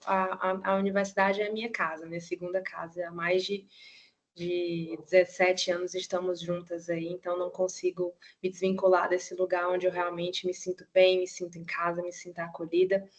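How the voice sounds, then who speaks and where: A woman's voice: fairly low pitch, level tone, normal speed. A middle-aged woman with a Ceará accent gives an online nursing lecture in a chatty way, often adding tag questions. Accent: Ceará, Brazil. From Ceará, Brazil. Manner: conversational, many "né" tag questions. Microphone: video call.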